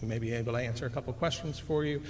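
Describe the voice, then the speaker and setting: A man moderating a public city meeting, giving reminders and inviting speakers, with many uh pauses. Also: medium pitch, darkish tone. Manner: announcing, filled with uh pauses. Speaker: city manager moderating the session. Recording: echoey hall with faint room noise.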